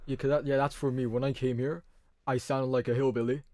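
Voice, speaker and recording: A man's voice: medium pitch, little pitch movement, brisk, darkish tone, no echo. Hesitant young man, American-leaning accent. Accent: American-leaning international school. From Hong Kong. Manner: hesitant, many restarts. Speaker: podcast guest. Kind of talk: casual chat.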